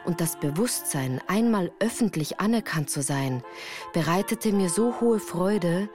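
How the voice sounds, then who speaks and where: A woman playing a melancholy narrator who recounts her hard youth in a measured, earnest radio drama style. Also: on the low side, expressive, music in the background. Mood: reflective, earnest.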